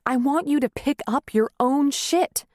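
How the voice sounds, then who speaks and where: A tired, grieving wife who snaps into anger, as a woman reads her, her voice climbing high and strained. The delivery is slow and heavy. The sound is close and completely dry. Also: lively intonation, darkish tone, no echo. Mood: furious. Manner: raised, heated and strained. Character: weary, fed-up wife, grieving and angry. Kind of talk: audiobook character reading.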